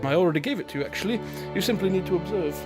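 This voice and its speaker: A man's voice: high voice, lively intonation, very fast. A man playing a wry, teasing divine guide in an audio drama, polite and lofty in manner. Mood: patient. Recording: dramatic music underneath.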